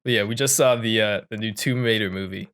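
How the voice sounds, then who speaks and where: A man's voice: on the low side, fairly quick, fairly bright tone. Casual male podcast host. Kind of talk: casual unscripted podcast chat.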